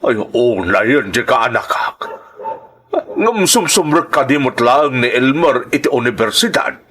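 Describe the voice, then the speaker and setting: Actor as a kindly older uncle, forceful tone. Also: male voice, mid-range voice, expressive, unhurried, husky. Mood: concerned. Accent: Ilocano. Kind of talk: audio drama dialogue.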